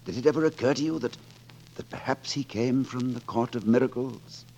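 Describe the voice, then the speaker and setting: An actor playing a gallant, roguish gutter poet and leader of rabble in a theatrical, declamatory 1940s radio drama style. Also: male voice, mid-range voice, a little fast, mellow, highs cut off, close to an everyday voice. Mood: tender, rueful. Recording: 1940s radio broadcast with music behind.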